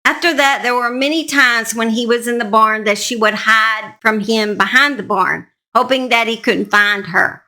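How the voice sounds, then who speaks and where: Woman, true crime host, high-ish pitch, bright forceful tone, storytelling narration, informal asides. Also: fairly even intonation, normal speed, few pauses.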